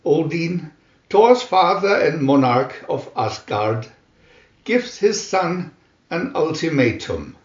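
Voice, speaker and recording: A man reading a scripted adventure story aloud in a dramatic, deliberate narrator's manner. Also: fairly high pitch, big pitch swings, roomy sound, lo-fi sound. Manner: dramatic, deliberate comic-adventure reading with announced picture numbers. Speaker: narrator and reader.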